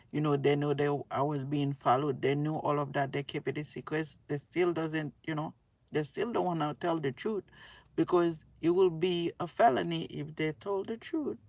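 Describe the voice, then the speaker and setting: Low-voiced, creaky woman talking casually. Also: no echo. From Haiti. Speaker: tarot reader and spiritual video creator. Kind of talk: casual spoken monologue to viewers.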